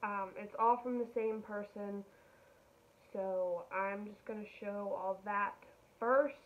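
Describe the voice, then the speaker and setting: Young woman, slow enthusiastic haul chat, gushing, home kitchen, tinny phone-like sound. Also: mid-range voice, steady tone. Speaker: vlogger.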